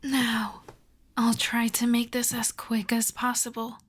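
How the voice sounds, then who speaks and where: A woman playing a polite, coyly obsessive vampire lab technician in an ASMR roleplay, speaking softly and courteously, often breathily, straight to the listener. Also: on the high side, little pitch movement, background noise. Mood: reassuring, measured. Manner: soft-spoken, courteous, measured, often breathy.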